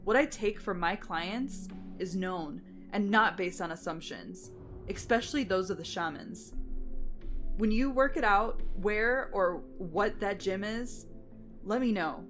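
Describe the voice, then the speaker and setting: A woman's voice: mid-range voice, animated, measured pace, fairly bright tone, faint music behind. A woman playing a sardonic, haughty, impatient canine thief, mocking and scornful, in a dramatised fantasy audio story. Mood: irritated, firm.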